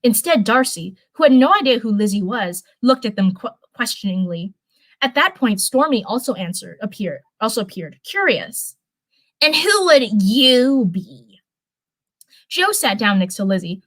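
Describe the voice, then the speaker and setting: A woman's voice: medium pitch, expressive, moderate pace, a bit bright. Casual, rambling woman streamer. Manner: rambling, informal, repeats herself. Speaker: streamer reading viewer-submitted fan fiction. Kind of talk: casual livestream chat and read-aloud storytelling.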